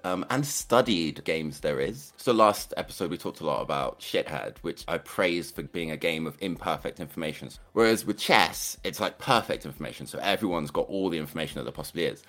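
A man's voice: low voice, fairly bright tone. A man co-hosting a podcast, playful and self-mocking. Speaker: podcast co-host. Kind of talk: casual podcast chat.

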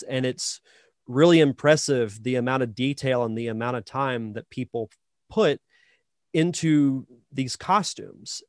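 A man's voice: mid-range voice, dry recording. A male podcast host with a mid-range voice and a dry, close sound. He talks casually and ramblingly, working out his thoughts as he interviews.

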